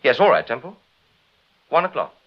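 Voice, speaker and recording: An earnest gentleman on the defensive in an old British radio thriller. He speaks Received Pronunciation in a medium-pitched, lively voice. The recording is dull and dated. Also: normal speed. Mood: uneasy, irritated. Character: anxious, defensive gentleman writer questioned by police. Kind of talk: mid-century British radio drama acting. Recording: dull, band-limited vintage radio recording.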